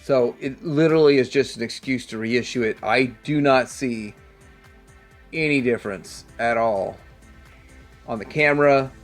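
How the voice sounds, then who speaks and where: A man's voice: medium pitch, unhurried, faint music behind. A man hosting a casual hands-on toy review, rambling and thinking aloud as he goes. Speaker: toy review channel host.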